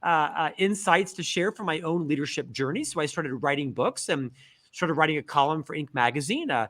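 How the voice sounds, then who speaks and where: A middle-aged man from Central Florida, a guest author in a casual interview, telling lively stories with self-deprecating humor. He has a stutter. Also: a little high, a little fast. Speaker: guest, author and podcast host.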